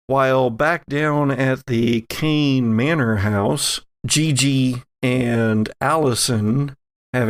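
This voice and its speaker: A man hosts a tabletop horror game podcast with a noticeably creaky voice. He narrates at a slow pace, at an average pitch, and sounds close and dry. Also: no room sound. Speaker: game master and host.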